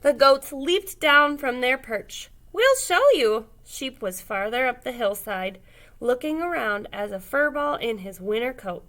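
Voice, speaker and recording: A woman reading a children's picture book aloud expressively, pausing to put questions to young listeners. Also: fairly high pitch, sharp tone. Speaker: read-aloud storyteller, teacher.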